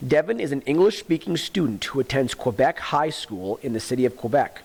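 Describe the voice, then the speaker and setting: A man's voice: medium pitch, sing-song, fairly quick, creaky, darkish tone. A man, a teacher reading a textbook aloud to students and explaining it plainly, repeating the key points.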